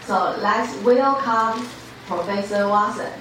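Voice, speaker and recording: Woman introducing a speaker, echoey hall. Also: mid-range voice. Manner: halting, with many fillers. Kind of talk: formal introduction. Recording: echoey hall, distant mic, noise.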